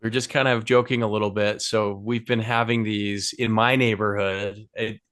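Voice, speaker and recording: A man with a lowish voice hosts a casual podcast interview. He asks loose, rambling questions full of fillers. The sound is dry and close. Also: flat delivery, moderate pace, fairly bright tone, no echo. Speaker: podcast host.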